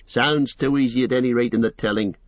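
A man's voice: mid-range voice, steady tone, a little fast, dry recording, highs cut off, natural voice. A man voicing a blunt, skeptical young male servant who voices his doubts plainly.

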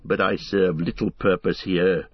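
A man's voice: sits low, steady tone, measured pace, husky, no echo, pitched below the performer's own voice. A man voicing an aging troubled king who speaks in a solemn, stately manner, on a dull lo-fi recording. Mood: brooding. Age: older. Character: aging troubled male king, proud, grave.

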